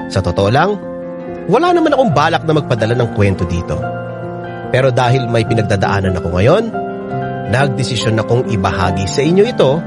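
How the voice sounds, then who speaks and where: A man narrates a listener's letter in a dramatic scripted style for radio. His mid-pitched voice moves expressively up and down. A prominent music bed plays loudly beneath him. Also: big pitch swings, brisk, pauses often. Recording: loud music bed under the voice. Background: loud music.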